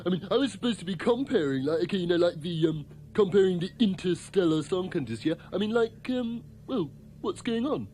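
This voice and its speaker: Dim, hesitant compere: extremely high, lively voice, rambling comic delivery, faint ambience. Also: male voice, brisk, slight background noise. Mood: befuddled. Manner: hesitant, rambling, filler-laden. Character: bumbling, dim, rambling hippie-ish male contest compere. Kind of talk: comic puppet sci-fi acting.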